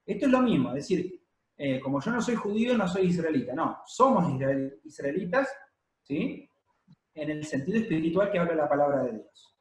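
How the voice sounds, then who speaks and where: A man preaching a sermon to a congregation, explaining at a measured pace with many pauses and fillers. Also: fairly high pitch, animated, a little mellow. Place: church hall, congregation present and streamed. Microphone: handheld or stand microphone. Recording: echoey room.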